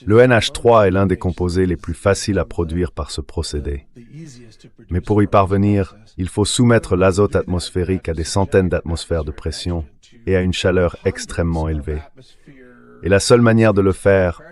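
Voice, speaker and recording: A low-pitched AI-read male voice plays the part of an expert guest in a dubbed interview. The delivery is didactic, laying out each explanation step by step. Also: moderate pace, darkish tone.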